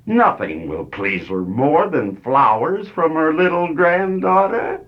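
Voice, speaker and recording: A woman doing a deep, slow, sly wolf. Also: very animated, exaggerated voice. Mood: falsely sweet. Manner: slow, falsely sweet and cunning. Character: wicked sly male wolf, sweet-talking. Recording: dull lo-fi storybook recording.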